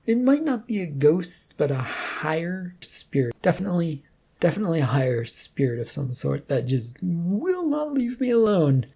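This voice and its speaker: Storybook reluctant prophet read by a narrator: awkward, hesitant man, husky and creaky. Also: mid-range voice, big pitch swings, moderate pace, dark tone, pitched above the performer's own voice, exaggerated voice. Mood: nervous, apologetic. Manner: hesitant, stammering. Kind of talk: amateur story reading in character.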